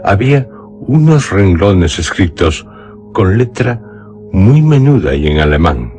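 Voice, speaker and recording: A man narrating a literary tale for radio theatre in a solemn, deliberate manner with dramatic pauses. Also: sits low, sing-song, a little mellow, faint music behind. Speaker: radio storyteller.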